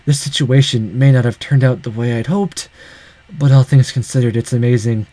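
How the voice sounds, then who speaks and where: A man's voice: medium pitch, normal speed, dark tone, close to an everyday voice, poor audio. A man voicing a dying young man in a visual novel, weary and tender, with a self-deprecating streak. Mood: weary resignation.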